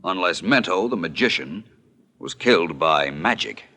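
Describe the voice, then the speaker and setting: An actor playing a cool, brisk, logical amateur detective in 1940s radio style. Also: male voice, deep voice, lively intonation, bright, forceful tone, heavily acted. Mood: wry, assured.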